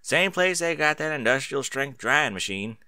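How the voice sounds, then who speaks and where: A man's voice: mid-range voice, expressive, moderate pace, pushed and bright, clean tone, no room sound, clean studio sound, voice pushed up. A man voicing a practical farm mare, a protective, exasperated big sister with plain-spoken, folksy talk. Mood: weary.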